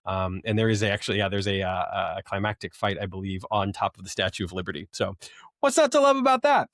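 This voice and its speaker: Low-voiced man rambling enthusiastically, close dry sound. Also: big pitch swings, fast talker, fairly bright tone, no room sound. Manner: enthusiastic, rambling, hesitant delivery with frequent ums. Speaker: host of a film collecting video channel. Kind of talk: casual unboxing commentary.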